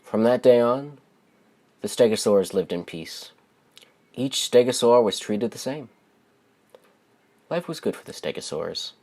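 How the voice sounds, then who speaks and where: A man reading a children's story aloud as its author, with simple, deliberate phrasing and expressive stresses. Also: mid-range voice.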